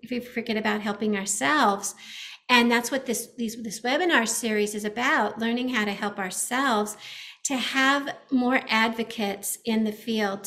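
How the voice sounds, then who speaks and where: A woman with a somewhat high voice hosts a community webinar over a video call. She introduces her guest in an earnest, welcoming way and puts questions to her. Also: fairly bright tone. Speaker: webinar host. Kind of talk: hosting an interview.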